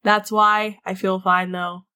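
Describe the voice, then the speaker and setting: A woman's voice: mid-range voice, little pitch movement, slow pace, pushed and bright, clean tone. Stuffy young woman hosting a podcast. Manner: chatty, playful, laughs often. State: stuffy from a head cold. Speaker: podcast host. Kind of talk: casual podcast chat.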